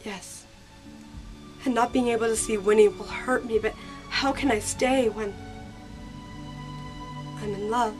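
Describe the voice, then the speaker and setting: A woman's voice: a little high, fairly even intonation, measured pace, pauses often, faint music behind, close to an everyday voice. A woman playing a heartfelt sixteen-year-old girl in an audio drama, emotional and sincere. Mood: tender, sincere.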